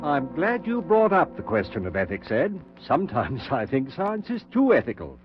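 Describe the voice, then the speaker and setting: An actor playing an obsessed, middle-aged research scientist in a 1940s radio drama, earnest and insistent, with formal diction. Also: male voice, medium pitch, huge pitch swings, moderate pace, over music. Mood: assured, genial. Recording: dull 1940s radio recording.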